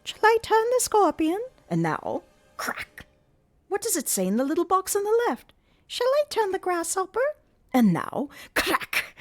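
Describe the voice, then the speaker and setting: A woman's voice: medium pitch, pitched above the performer's own voice, heavily acted. A woman doing a gloating masked male ventriloquist. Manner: slow, taunting, swooping and gloating. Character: menacing masked male ventriloquist, mocking and theatrical.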